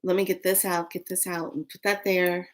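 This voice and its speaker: A woman's voice: fairly low pitch, flat delivery, normal speed. A woman in her late fifties chatting casually to viewers on a live stream, rambling through a story. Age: 50s. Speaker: vlogger.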